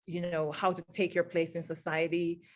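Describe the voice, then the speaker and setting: A woman's voice: fairly low pitch, level tone, moderate pace. A woman who grew up in Jamaica, a teacher, answering interview questions in an earnest, reflective way with many fillers. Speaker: guest, teacher.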